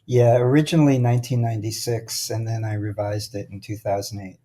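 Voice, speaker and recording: A man's voice: lowish voice, level tone, fairly quick, warm tone. A man speaking as a guest author, explaining ideas in a reflective, conversational style.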